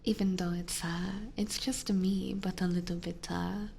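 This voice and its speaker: Young female streamer, whispered delivery, low-pitched and unhurried, gravelly. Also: level tone, slight background noise. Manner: whispering, with frequent soft laughs. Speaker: live streamer reading chat. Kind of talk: soft-spoken whispered chat with viewers.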